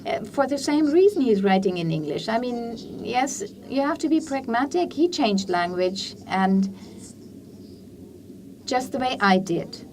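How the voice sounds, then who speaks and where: A woman's voice: on the high side, lively intonation, measured pace, darkish tone. Woman, blunt and pragmatic, mic far away with faint hum behind. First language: Croatian. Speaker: writer in the audience. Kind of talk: conference Q&A comment. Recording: distant mic, faint hall ambience.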